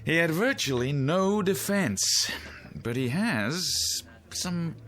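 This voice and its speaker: A man's voice: a little high, lively intonation, takes it slowly, a bit bright. An actor playing an urbane, wry newspaperman musing over drinks in a radio drama, with theatrical phrasing.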